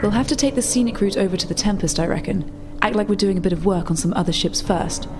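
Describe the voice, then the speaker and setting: A woman playing a brisk, practical rebel contact in a tabletop roleplay, explaining things matter-of-factly. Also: mid-range voice, background noise, natural voice. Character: female rebel contact, brisk, practical, slightly flustered insider technician.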